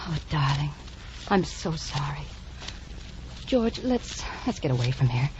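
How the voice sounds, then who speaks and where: A lovestruck young socialite in a vintage radio melodrama. Her medium-pitched voice rises and falls dramatically with tender emotion. The old recording sounds muffled. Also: big pitch swings, unhurried, background noise. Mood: sorrowful, tender. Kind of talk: 1940s radio drama acting.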